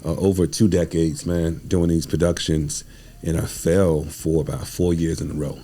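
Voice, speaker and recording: Very deep, mellow-voiced man in his forties. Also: little pitch movement, moderate pace, husky. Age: 40s. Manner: earnest, informal street-inflected talk. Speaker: playwright and producer, podcast guest. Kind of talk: casual interview storytelling.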